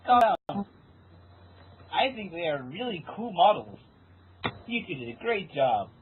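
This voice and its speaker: A performer of unclear sex playing a calm, encouraging judge of projects in an amateur story reading. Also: medium pitch, measured pace. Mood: calm, approving. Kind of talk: amateur fan fiction dramatic reading. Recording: tinny phone-like sound, faint noise.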